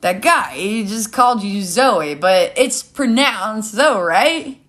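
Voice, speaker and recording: A woman's voice: medium pitch, animated, slow, a bit bright. A woman reader puts on a young hipster man from a short story. He is laid-back and casual, talking with an easygoing, ironic nonchalance.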